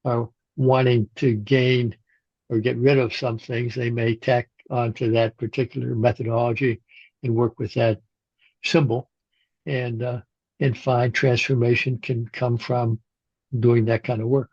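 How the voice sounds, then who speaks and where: An older man with a gravelly, husky voice talks in a slow, reflective way. He often stops and restarts his sentences. The audio is dull and muffled, as if recorded on a basic setup. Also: mid-range voice, little pitch movement, a little mellow, no echo. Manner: halting, with frequent restarts and fillers. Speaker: guest, Jungian analyst and shamanic practitioner. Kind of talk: reflective interview conversation.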